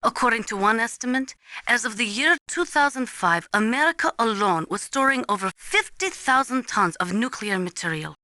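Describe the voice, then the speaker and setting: A woman's voice: on the high side, lively intonation, moderate pace, bright, forceful tone, no room sound. A woman voicing a serious, knowledgeable military analyst in a video game, briefing in a precise, formal, instructive way. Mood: grave, informative.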